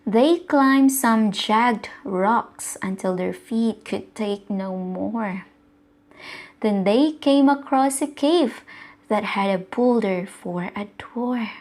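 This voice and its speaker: A woman with a Filipino accent reading a rhyming bedtime story, gently asking the children questions. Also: medium pitch. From Philippines. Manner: slow, gentle, asks the listener questions. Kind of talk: bedtime story read-aloud for kids.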